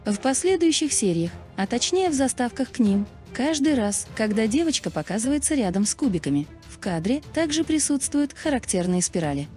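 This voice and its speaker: A woman's voice: on the high side, sing-song, a little fast, few pauses, warm tone. A woman reading scripted, documentary-style narration. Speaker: video narrator. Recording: music behind the voice. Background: background music.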